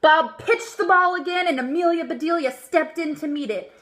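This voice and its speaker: A woman giving an expressive, emphatic read-aloud of a children's storybook. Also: high-pitched, normal speed. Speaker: storybook reader.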